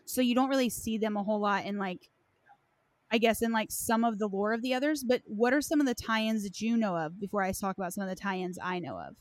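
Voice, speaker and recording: A woman's voice: medium pitch, brisk, dry recording. A woman hosting a casual discussion, speaking in a loose, conversational way with plenty of hedging. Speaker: host of a game lore discussion.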